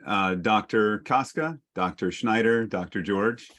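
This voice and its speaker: A man's voice: on the low side, unhurried, fairly bright tone. A man moderating a panel discussion over a video call, wrapping up with thanks to the guests.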